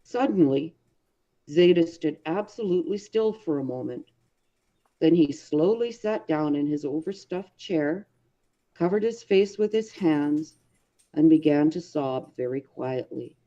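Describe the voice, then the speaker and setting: Woman reading an audiobook story, mellow voice, kinda dramatic and deliberate, slightly muffled recording. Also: sits low, lively intonation. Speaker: audiobook reader. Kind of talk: expressive scripted story narration.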